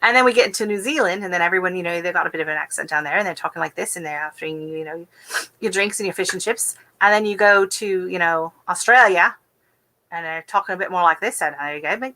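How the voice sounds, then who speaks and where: A woman's voice: lowish voice, fast, sharp tone, poor audio. An American woman from Texas chatting casually on her gardening live stream, rambling with lots of fillers. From Texas, USA. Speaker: gardening channel host.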